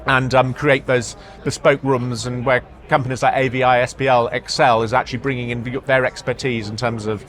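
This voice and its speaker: A man speaking as an executive in a product interview, halting, often repeating words and hesitating as he explains. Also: mid-range voice, little pitch movement, a bit bright. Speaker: marketing executive being interviewed. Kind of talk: product interview at a trade show. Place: trade show floor.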